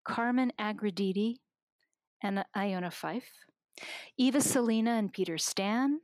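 A woman's voice: mid-range voice, a little slow, a little mellow, no echo. Enthusiastic woman guest on a dry mic. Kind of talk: casual chat. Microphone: remote recording outside the studio.

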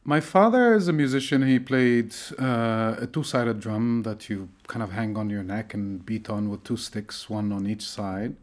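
A middle-aged man from Tunisia, a lecturer, talking thoughtfully in an interview, with many pauses and fillers. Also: fairly low pitch. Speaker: lecturer in Arabic studies. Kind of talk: conversational interview.

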